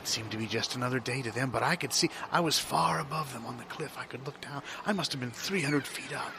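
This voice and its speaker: Guy doing an anxious dreamer telling a weird dream, dramatic n halting, mid voice, noisy ambience behind. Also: mid-range voice, creaky, lightly acted. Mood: dreamy, wondering. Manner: dramatic, halting, repeats himself. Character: anxious dreamer recounting a surreal dream, swinging from hushed to panicked. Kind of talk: improvised audio theatre monologue.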